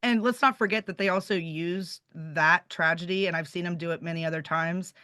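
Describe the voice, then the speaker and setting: A woman's voice: fairly low pitch, fairly even intonation, fairly quick, sharp tone, no room sound, clean studio sound. A woman talking casually and emphatically on a panel, explaining her points.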